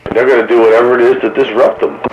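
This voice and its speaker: A man's voice: medium pitch, level tone, racing, lo-fi sound. A man chatting casually and jokingly over CB radio. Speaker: amateur radio operator. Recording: slightly noisy CB radio transmission.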